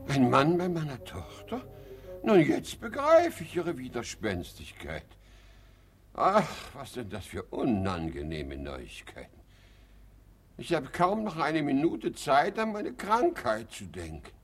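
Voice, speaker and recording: Self-pitying hypochondriac father, slow delivery, very swooping intonation, theatrical, blustering then plaintive, radio comedy. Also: mid-range voice, wildly swooping pitch, breathy edge, soft background music, heavily acted. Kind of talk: German radio play comedy acting.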